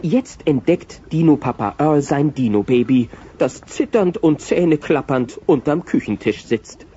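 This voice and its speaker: A man narrating a children's audio play as an expressive storyteller. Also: fairly high pitch, measured pace, a little hiss or hum. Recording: dull cassette transfer with music.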